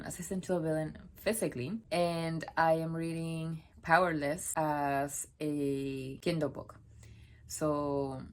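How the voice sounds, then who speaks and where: A young woman from Puerto Rico, a native Spanish speaker, chatting to camera from the floor of her home office, rambling and correcting herself as she goes. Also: deep voice. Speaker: book vlogger. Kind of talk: casual talk to camera.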